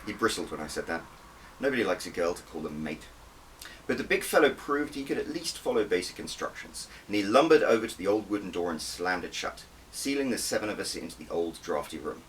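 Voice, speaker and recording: A man's voice: low voice, lively intonation, a little fast, far from the mic, slight background noise, rough recording. A man reading his own story aloud as an audiobook, with a wry, conversational manner and dramatic emphasis. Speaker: author reading own book. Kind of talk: first-person audiobook narration.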